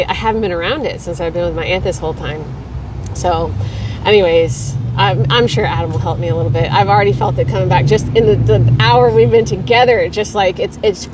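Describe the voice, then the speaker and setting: A woman's voice: mid-range voice, recorded from a distance, noisy background. A woman from Texas hosting a weekly livestream, chatting casually and rambling between viewer comments. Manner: chatty and rambling, laughs easily.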